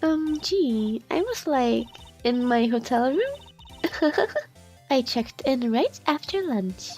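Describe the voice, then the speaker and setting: A high-pitched flirty ditz. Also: female voice, sing-song, takes it slowly, darkish tone, exaggerated voice. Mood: coy, flirty. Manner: coy, airheaded, breezy. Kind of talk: video game fandub acting. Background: music.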